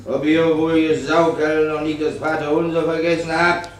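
An actor playing a drunken army chaplain in a radio play, rambling and abusive, swinging between barked orders and maudlin piety. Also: male voice, on the high side, flat delivery, normal speed, natural voice. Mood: barking, scolding. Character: drunken, bullying army chaplain preaching to prisoners. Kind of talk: German radio play sermon. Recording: echoey chapel-like room.

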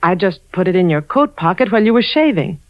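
An actress playing an anxious, evasive wife and mother in a 1940s radio thriller, speaking nervously and haltingly and repeating herself. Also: lowish voice, lively intonation, dry recording, natural voice. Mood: defensive, nervous. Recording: dull, dry 1940s radio broadcast.

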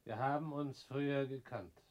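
Man acting former revolutionary comrade, calm, terse, restrained, medium pitch, radio play. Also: moderate pace, close to an everyday voice.

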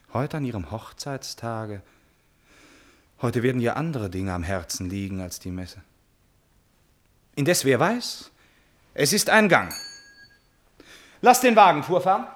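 Radio drama prince: imperious, lovesick, theatrical, husky edge, very animated intonation. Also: male voice, medium pitch, full character voice. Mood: brooding. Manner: theatrical, emphatic, swinging from outburst to brooding. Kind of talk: classical German stage drama, radio play acting.

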